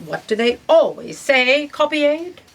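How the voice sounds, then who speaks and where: A woman playing a brisk, callous, penny-pinching boss in a comedic sci-fi audio drama, bossy and matter-of-fact. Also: high voice, sing-song, heavily acted. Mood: dismissive.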